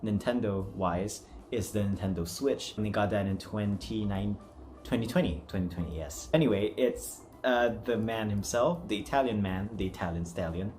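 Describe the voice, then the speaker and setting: A man's voice: on the low side, normal speed, darkish tone, a little hiss or hum. A man hosting a casual voice acting challenge, chatty, giggly and self-deprecating. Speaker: amateur voice acting challenge host.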